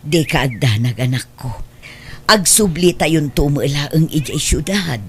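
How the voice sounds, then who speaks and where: A woman's voice: deep voice, lively intonation, unhurried, a little hiss or hum. A woman playing an anxious, tender mother in an Ilocano audio drama. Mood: weary, tender. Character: anxious, tender mother protecting her daughter.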